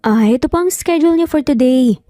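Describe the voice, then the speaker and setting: A woman's voice: high-pitched, brisk, mellow, dry recording, clean studio sound, soft background music, pitched above the performer's own voice, lightly acted. A Filipino woman voicing a shy, polite young female secretary in a romance story, hesitant and guarded. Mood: polite, nervous.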